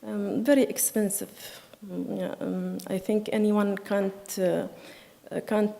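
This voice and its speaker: A woman speaking slow, hesitant English with many fillers at a meeting. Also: mid-range voice, little pitch movement, gravelly, dark tone. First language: Arabic. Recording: hall reverb.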